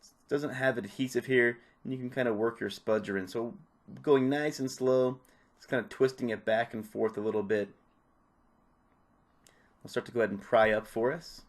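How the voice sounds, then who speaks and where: A man's voice: medium pitch, fairly even intonation, normal speed. A man presenting a repair tutorial, giving casual, informal step-by-step hands-on instructions. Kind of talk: casual hands-on how-to narration.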